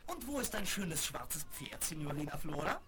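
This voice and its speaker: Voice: mid-range voice, big pitch swings, moderate pace. An actor playing a cheerful, courteous young Italian circus clown in a children's radio play on crackly old vinyl. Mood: friendly, cheerful.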